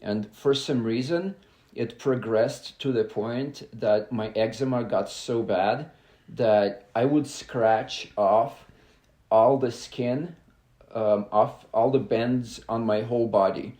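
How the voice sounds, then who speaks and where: A young adult man from Belarus, a guest on a podcast, talking in a halting, earnest way with many filler sounds. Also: mid-range voice, dark tone, roomy sound. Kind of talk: casual interview talk.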